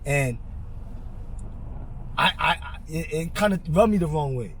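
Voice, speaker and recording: Halting, repetitive New podcast host. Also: male voice, on the high side, animated, fairly bright tone, a little hiss or hum. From Korea, raised in Flushing, Queens. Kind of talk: casual podcast interview.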